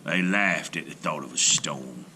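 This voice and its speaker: A man narrating a folktale in the first person as a storyteller, in a deliberate, expressive, dramatic way. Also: a bass voice, a little hiss or hum. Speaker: storyteller reading aloud.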